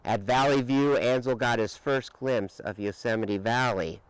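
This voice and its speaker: A man reading a children's picture book aloud with expressive, dramatic emphasis and drawn-out words. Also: mid-range voice, smooth, no echo, poor audio. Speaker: storybook reader.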